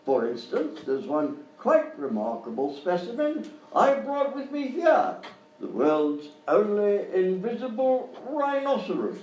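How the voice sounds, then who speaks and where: A man playing a blustering, befuddled upper-class English gentleman explorer in a live comedy sketch, pompous and easily flustered. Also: high voice, big pitch swings, measured pace, dull audio. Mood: proudly boastful. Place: live stage show at a hotel. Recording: roomy live hotel recording, distant mic, faint noise.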